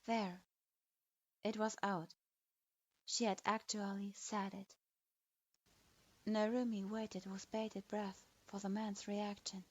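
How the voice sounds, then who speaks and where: A woman narrating fan fiction aloud in a steady storytelling manner, recorded with a dull lo-fi sound. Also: medium pitch, takes it slowly, long pauses.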